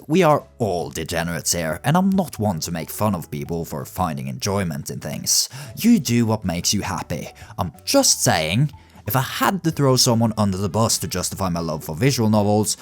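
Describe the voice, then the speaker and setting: Low-pitched man, lively sardonic video commentary. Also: normal speed. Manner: wry, sardonic, sweary. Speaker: video essayist and narrator. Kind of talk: casual scripted video commentary. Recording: music behind the voice. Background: background music.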